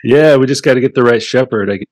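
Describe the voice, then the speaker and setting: A man co-hosting a casual Bible study talk, thoughtful and halting, with a deep radio voice. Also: dry recording. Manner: relaxed, thoughtful, halting with restarts. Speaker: Bible study podcast co-host. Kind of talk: casual Bible discussion and scripture reading.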